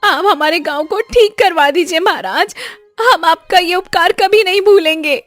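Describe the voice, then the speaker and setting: A woman's voice: very high voice, a bit bright, faint music behind. A woman voicing a distressed, deferential village woman who pleads in a tearful whine for a cartoon moral story. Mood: pleading. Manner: imploring, drawn-out, deferential.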